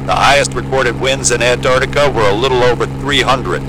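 A man's voice: mid-range voice, steady tone, brisk, noisy background, natural voice. An actor playing a breezy, matter-of-fact, seasoned male Antarctic pilot in an audio drama. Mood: breezy, wry. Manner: breezy, matter-of-fact, wry, salty-tongued. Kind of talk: naturalistic audio drama acting with aviation radio jargon.

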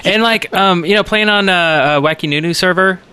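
Clear, bright-voiced easygoing man. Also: high-pitched, moderate pace. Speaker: podcast co-host. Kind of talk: casual gaming podcast chat.

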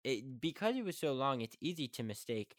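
A young man hosting a podcast, talking casually about acting and monologues, fast and often stumbling and restarting his sentences. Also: mid-range voice, sing-song, dry recording, studio quality. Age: young adult. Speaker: podcast host.

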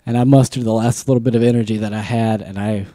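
Chatty mellow-voiced man in his twenties. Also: fairly low pitch, steady tone, fast, no echo. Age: 20s. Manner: chatty, laughs often, acts out quotes. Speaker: podcast co-host. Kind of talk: casual chat.

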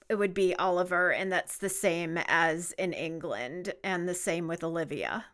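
A woman's voice: mid-range voice, fairly bright tone, smooth. A woman hosting a podcast, asking curious, conversational questions. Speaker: podcast host. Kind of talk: interview questions.

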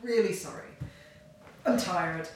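A woman's voice: fairly low pitch, slow. A woman playing a restless, demanding partner in an audio play, emphatic and questioning. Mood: apologetic, tired. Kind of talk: audio drama acting. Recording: very echoey, distant, clearly noisy.

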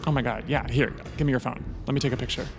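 A man's voice: mid-range voice, fairly even intonation, rapid-fire, gravelly, lightly acted. An actor playing a flamboyant, cheeky wig-shop clerk in an audio drama, effusive and slangy, drawing out his words. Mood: gushing, cheeky. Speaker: shop assistant. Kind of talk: audio drama dialogue, casual chat. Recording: music playing behind.

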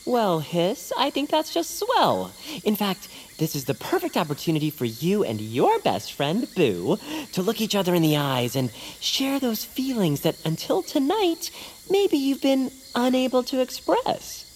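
A man's voice: high voice, huge pitch swings, a little slow, slight background noise, full character voice. A man playing an earnest, eager, boyish best pal in an audio comedy drama, with chipper, old-fashioned wholesome phrasing. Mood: upbeat, encouraging. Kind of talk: cartoonish audio drama acting.

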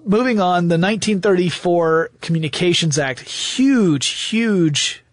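A man's voice: high-pitched, expressive, a little slow, dry recording. A man co-hosting a show, explaining history conversationally like a storyteller, with emphatic repetition. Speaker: podcast co-host.

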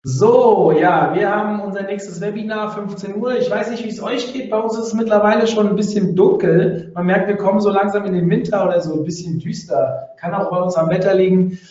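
Brief: male webinar host with a cold, high voice, distant echoey lo-fi sound. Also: warm tone. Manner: chatty, halting, many fillers. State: has a cold, coughing. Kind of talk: hosting a live webinar.

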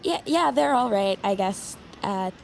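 A woman's voice: mid-range voice, animated, measured pace, creaky, a little hiss or hum. An actress playing an evasive, uneasy teenage girl who talks hesitantly and stammers, in naturalistic audio drama. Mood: awkward, evasive. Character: evasive, uneasy teenage girl, incoming high school freshman.

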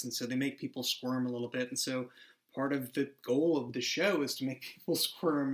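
A man's voice: medium pitch, fairly bright tone. A man, one of the show's creators, speaking earnestly and thoughtfully in a casual chat.

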